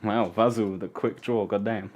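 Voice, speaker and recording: A man's voice: on the low side, steady tone, normal speed. A man from Poland hosting a casual live stream, chatting in a rambling way and humming to himself as he works. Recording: loud background noise.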